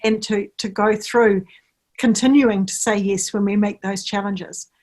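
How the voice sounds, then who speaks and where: A woman's voice: mid-range voice, fairly even intonation, measured pace, a little mellow. A woman hosting a networking meetup. She thanks the guest speaker and reflects on the talk in an affirming way, using plenty of filler phrases. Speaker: host.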